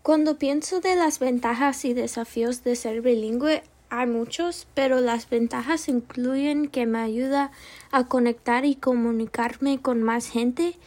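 Slow, soft-spoken young American woman. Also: on the high side, fairly even intonation. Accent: American English. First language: English. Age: young adult. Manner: slow, careful delivery with small self-corrections. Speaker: student podcast host. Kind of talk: class podcast monologue.

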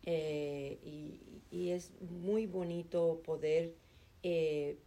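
Slow, low-voiced middle-aged woman. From Chicago, United States. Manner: calm and earnest, with hesitation fillers. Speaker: interviewee, nonprofit administrative assistant. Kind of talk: conversational interview answers.

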